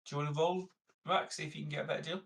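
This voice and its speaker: A man's voice: on the high side, fairly even intonation, racing, creaky, fairly bright tone. A man playing a tabletop game, talking casually and explaining things methodically.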